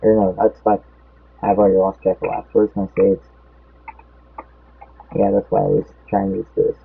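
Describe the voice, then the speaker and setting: A man's voice: sits low, completely flat delivery, fairly quick, gravelly, breathy edge. A young man casually commenting on a race, rambling and losing track of his count, his voice a bit off. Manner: rambling, loses track mid-sentence. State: voice feels off. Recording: tinny phone-like audio.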